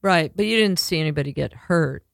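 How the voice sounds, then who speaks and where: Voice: mid-range voice, a little fast, gravelly, a bit bright, dry recording, clean studio sound. A podcast host asking casual questions and offering frequent short affirmations. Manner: chatty, lots of backchannel affirmations. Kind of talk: casual interview.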